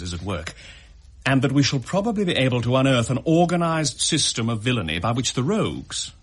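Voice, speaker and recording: An actor playing a confident, sceptical gentleman host in a period audio drama, speaking eloquently and formally with a hearty, husky voice. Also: medium pitch. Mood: sceptical, determined.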